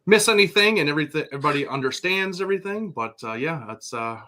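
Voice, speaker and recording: A man's voice: a little high, expressive, fast, bright, forceful tone. A man co-hosting a casual podcast, rambling with lots of fillers. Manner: rambling, filler-heavy, laid-back. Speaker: podcast co-host.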